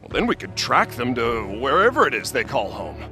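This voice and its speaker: A breezy, self-assured game adventurer with wry charm. His mid-pitched voice moves up and down a lot over faint music. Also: wildly swooping pitch, brisk. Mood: explaining. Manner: wry, casual. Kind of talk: video game cutscene acting.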